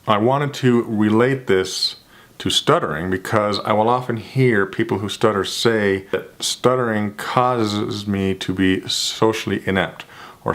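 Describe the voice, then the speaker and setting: A man with a somewhat low voice talks unhurriedly, stretching out his phrases. He stutters, repeating first sounds and restarting words, and speaks in an earnest, reflective way to the camera. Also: fairly bright tone. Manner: measured, earnest, self-reflective. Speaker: vlogger.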